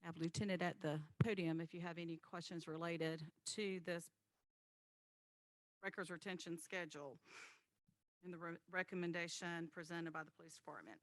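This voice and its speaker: A woman's voice: lowish voice, fairly even intonation, moderate pace, dry recording. A woman chairing a city committee meeting, moving through motions and votes in a businesslike way with frequent filler words. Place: city hall meeting chamber.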